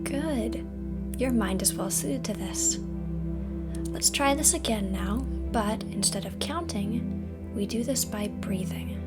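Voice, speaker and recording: A woman playing a friendly, patient mentor in a roleplay audio drama, speaking to the listener in a gentle, reassuring, casually instructive way. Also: mid-range voice, slow, loud music behind the voice, natural voice. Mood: calm, soothing. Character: friendly female mentor with powers, patient and encouraging trainer.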